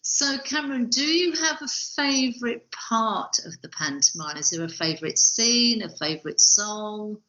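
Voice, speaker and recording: A woman hosting a podcast interview, putting questions and addressing listeners in a measured way. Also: a little high, expressive, sharp tone, dull audio. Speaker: podcast host. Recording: echoey, roomy sound.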